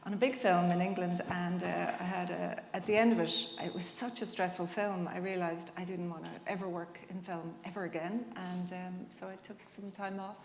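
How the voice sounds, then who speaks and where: A woman's voice: on the low side, steady tone, moderate pace, few pauses. A middle-aged woman introducing herself on a panel in a hesitant, candid way. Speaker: panellist, TV drama director. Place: indoor conference hall. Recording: echoey hall with background noise.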